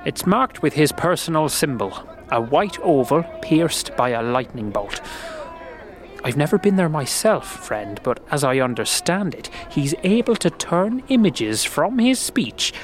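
A man voicing a friendly, gentle, earnest slum dweller in a fantasy audio drama, with a folksy, hesitant way of talking. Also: fairly high pitch, sing-song, unhurried. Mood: earnest, informative.

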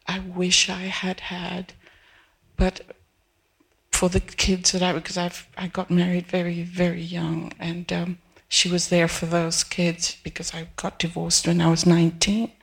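A woman in her seventies from Peru, an audience member speaking haltingly at a live event, pausing and restarting as she searches for words. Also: fairly low pitch, completely flat delivery, gravelly, husky. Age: 70s. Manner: hesitant, restarting, soft and searching. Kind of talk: halting personal testimony.

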